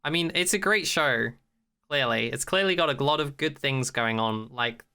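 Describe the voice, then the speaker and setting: Bright, clear voice, blunt podcast co-host. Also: mid-range voice, normal speed. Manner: informal, swears freely. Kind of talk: casual opinionated discussion of a TV show.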